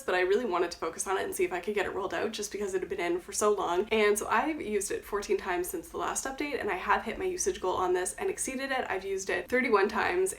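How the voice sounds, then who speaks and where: A young woman talks quickly and casually into her camera, her voice stuffy and nasal from a cold. She chats through her makeup update, often restarting a phrase. Her pitch sits in a middle range. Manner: chatty, quick, self-correcting. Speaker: beauty vlogger.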